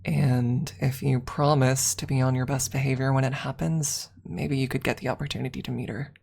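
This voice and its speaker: A woman voicing an affectionate, teasing uncle with a calm, playful manner in a story reading. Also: very deep voice, moderate pace, gravelly, husky, exaggerated voice. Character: affectionate, teasing uncle, a horse-girl trainer.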